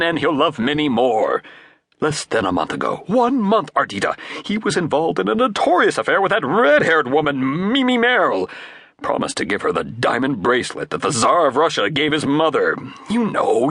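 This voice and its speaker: A man voices a stern, grandiloquent gray-haired uncle who lectures slowly. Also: a little high, huge pitch swings, a bit bright, husky, no room sound, clean studio sound, pitched above the performer's own voice, exaggerated voice. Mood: lecturing.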